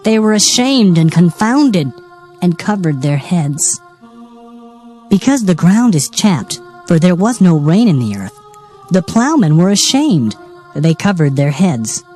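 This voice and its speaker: A woman's voice: on the low side, sing-song, unhurried, dark tone, faint music behind. A woman narrating dramatized scripture in a solemn, declamatory manner. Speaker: Bible narrator.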